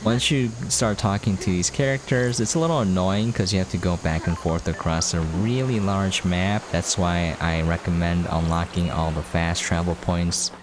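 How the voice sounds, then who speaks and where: A man with a low-pitched voice talks through a video game as its host. His delivery is casual and rambling, laid-back in manner. There is clear noise behind his voice. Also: steady tone, normal speed, hardly any pauses.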